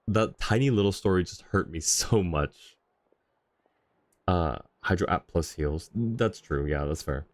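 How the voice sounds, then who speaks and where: A man's voice: low-pitched, measured pace, no room sound. A man doing casual, chatty livestream commentary on a game, reacting and replying to his chat. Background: faint game music.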